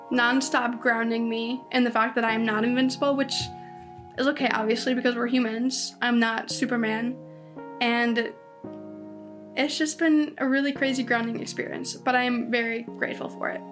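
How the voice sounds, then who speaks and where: A woman in her twenties hosting a podcast alone, talking casually and rambling with lots of "like"s and restarts. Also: a little high. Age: 20s. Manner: chatty, rambling, many "like"s and restarts. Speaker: podcast host. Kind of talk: casual solo podcast monologue. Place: indoors at home.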